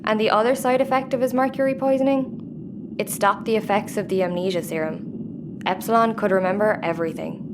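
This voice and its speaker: Against clear background noise, a sardonic female crew member played by a woman talks in a medium-pitched voice. She is blunt and offhand, dry in the manner of sci-fi comedy drama. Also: fairly even intonation, a little fast, close to an everyday voice. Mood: explanatory. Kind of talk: sci-fi audio comedy acting.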